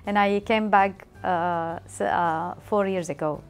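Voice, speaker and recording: A woman's voice: fairly low pitch, fairly even intonation, slow pace. Woman, 40s, from Iraq, hesitant learner, music bed. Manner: hesitant, many fillers.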